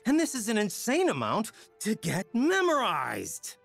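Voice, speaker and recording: A cocky young man in a game cutscene. His pitch swings widely as he delivers dry, sardonic remarks. Music is faint behind him. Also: huge pitch swings, a little slow, creaky, a bit bright, exaggerated voice. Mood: wry. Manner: sardonic, wry. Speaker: voiced game character.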